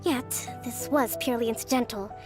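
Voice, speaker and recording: A woman playing a composed young strategist and leader in a video game, speaking in a measured, formal, articulate way. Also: on the high side, natural voice. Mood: gently reproving. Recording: faint background music.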